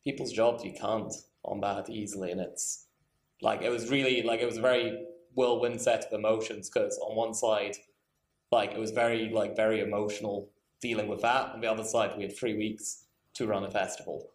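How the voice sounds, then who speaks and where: A man's voice: lowish voice, steady tone, moderate pace. A man speaking as a festival director on a panel, informal and wry, with self-deprecating asides. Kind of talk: panel discussion, informal spoken account. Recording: echoey room.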